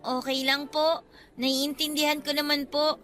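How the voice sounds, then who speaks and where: A woman's voice: high voice, level tone, measured pace, bright, forceful tone. A woman playing a sweet, polite young schoolgirl in a radio drama. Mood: cheerful, affectionate. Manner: polite, hesitant when questioned. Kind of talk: radio drama acting in Tagalog.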